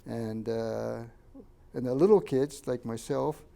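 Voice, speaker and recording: An older man, a Japanese first-language speaker from Canada, recalls his childhood in a halting oral history interview and points out photos. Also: medium pitch, vocal fry, warm tone. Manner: halting, many fillers and restarts, pointing out photos.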